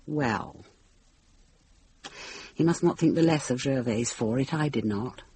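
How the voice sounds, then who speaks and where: A woman's voice: very deep voice, unhurried, dark tone, slight background noise. An actress playing a middle-aged widowed lady of a manor in a radio drama, genteel, candid and loyal. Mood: sorrowful, candid. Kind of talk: British radio drama acting.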